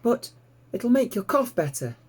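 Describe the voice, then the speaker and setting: A man's voice: very high voice, very animated, measured pace, slightly rough, pitched above the performer's own voice, exaggerated voice. A man voicing an eager, polite young male piglet with a childlike, earnest manner in a storybook reading. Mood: gently coaxing. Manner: courteous, earnest, pleading.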